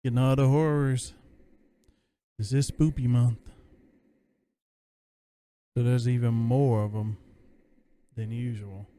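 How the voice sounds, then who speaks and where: A man's voice: mid-range voice, little pitch movement, takes it slowly, pauses often, mellow, smooth, dry recording. A man casually commenting on a horror game as he plays, musing aloud in a laid-back way. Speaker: gameplay streamer.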